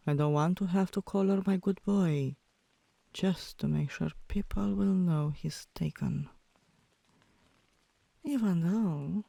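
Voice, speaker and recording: Teasing, dominant tomboy girlfriend, played by a woman, for ASMR roleplay. Soft dark tone, close and dry, commanding but affectionate. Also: low voice, gravelly, dry recording, full character voice. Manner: commanding yet coaxing, deliberate, drops into whispers.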